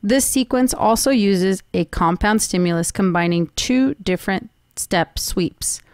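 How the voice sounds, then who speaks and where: A woman narrating a scripted technical seminar in a measured, formal explaining manner. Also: medium pitch, no echo, studio quality. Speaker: presenter of a technical training seminar.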